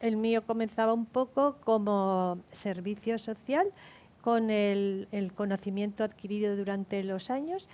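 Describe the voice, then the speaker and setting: A woman's voice: medium pitch, warm tone. Woman panelist, slightly dull sound. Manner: halting, with fillers. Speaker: entrepreneur panelist. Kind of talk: panel discussion.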